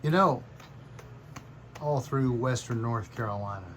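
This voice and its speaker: A man, asking questions casually as an interviewer in a relaxed conversation. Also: medium pitch, measured pace, slight background noise.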